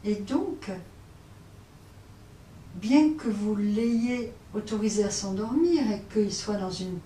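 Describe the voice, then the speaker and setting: A woman teaching yoga nidra, guiding relaxation in a hesitant way, often pausing and repeating phrases. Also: medium pitch, expressive, mellow. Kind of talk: guided relaxation instruction. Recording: roomy, distant mic, faint noise.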